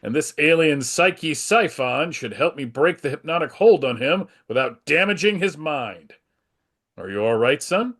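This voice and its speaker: A man's voice: fairly high pitch, animated, measured pace, fairly bright tone. A man playing an earnest, reassuring superhero who explains things declaratively in a comic-book table read.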